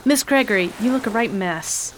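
A woman's voice: on the high side, lively intonation, normal speed, slight background noise, low-quality recording. Brash, slangy woman in a radio play. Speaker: rough-spoken fellow passenger, Nora. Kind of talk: radio drama acting.

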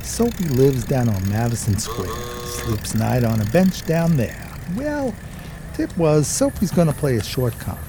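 Animated, streetwise 1920s drifter. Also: male voice, mid-range voice, a little slow, background noise. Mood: wry storytelling. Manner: slangy, hesitant, colloquial storytelling. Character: streetwise, eager, broke 1920s New York drifter pal. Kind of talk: radio play acting and first-person narration.

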